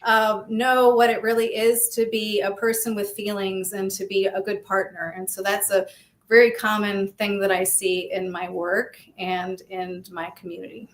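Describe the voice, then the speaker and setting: A woman's voice: medium pitch, little pitch movement, moderate pace. A woman in her fifties with an American accent, speaking frankly and with energy as an interview guest, swearing freely. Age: 50s. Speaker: guest, sex-positive activist. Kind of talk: candid interview talk.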